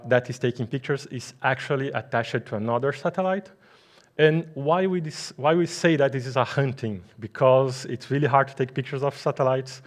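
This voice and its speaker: Brazilian man giving a halting tech talk. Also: medium pitch. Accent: Brazilian Portuguese. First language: Portuguese. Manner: halting, with frequent fillers and restarts. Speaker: conference speaker. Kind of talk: technical conference talk. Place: conference hall.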